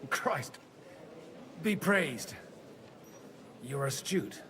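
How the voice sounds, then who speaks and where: An actor playing a courteous, direct young medieval envoy in a video game, earnest in manner. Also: male voice, a little high, expressive, extremely slow, bright, forceful tone, slight background noise, full character voice. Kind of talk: video game dialogue acting.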